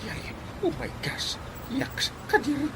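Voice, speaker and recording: A man's voice: very high voice, wildly swooping pitch, slow, noisy background, heavily acted. A man with a Filipino accent acting a nosy, overdramatic village sidekick in an Ilocano comedy drama. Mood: excited.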